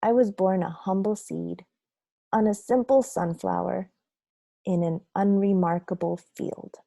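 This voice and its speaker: A woman voicing a grumpy, remorseful sunflower seed telling his own story in a children's picture book read-aloud, in a dramatic, deliberate, confessional manner. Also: medium pitch, fairly even intonation, creaky, mellow, dry recording. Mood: wistful recounting.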